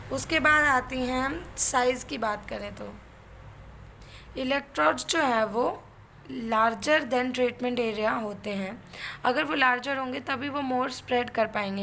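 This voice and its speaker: Higher-pitched Indian woman lecturing, noisy recording. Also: a bit bright. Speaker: physiotherapy instructor. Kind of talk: explanatory lecture mixing Hindi and English.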